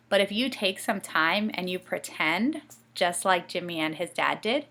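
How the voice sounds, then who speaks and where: A woman reading a bedtime story aloud to children with expressive narration. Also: on the low side. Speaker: storybook reader.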